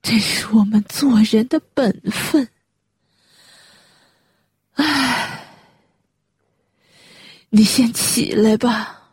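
Distraught widowed mother, middle-aged, slightly high voice, slow, sighing, pleading, radio drama. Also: darkish tone, husky, dry recording, exaggerated voice. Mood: urgent, upset. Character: anxious, tearful, upright widowed mother of a grown son. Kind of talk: Chinese radio drama acting.

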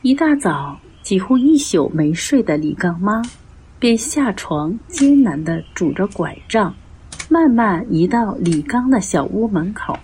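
A woman's voice: mid-range voice, big pitch swings, a little slow, a little mellow, slight background noise, poor audio. A woman narrating a radio drama from a script as its storyteller.

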